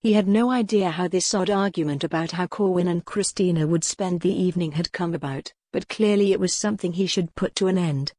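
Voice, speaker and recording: Female audiobook narrator for historical romance, lower-pitched voice, dry close studio sound. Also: a little fast, few pauses, mellow, dry recording.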